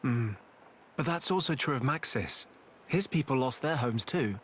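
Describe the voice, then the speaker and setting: A man's voice: medium pitch, expressive, normal speed, a bit bright, slight background noise, lightly acted. A man playing a thoughtful young hero in a video game, speaking sincerely and reflectively. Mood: reflective, determined. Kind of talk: dramatic video game voice acting.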